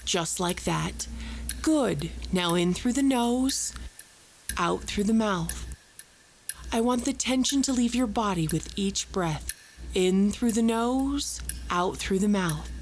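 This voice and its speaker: A woman plays a calm female psychologist with a slow, measured delivery. She coaxes and soothes like a hypnotist, controlled and repetitive, in an audio drama. It all sits on an old cassette recording with clear hum and noise. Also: mid-range voice, low-quality recording. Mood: soothing. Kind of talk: audio drama therapy session.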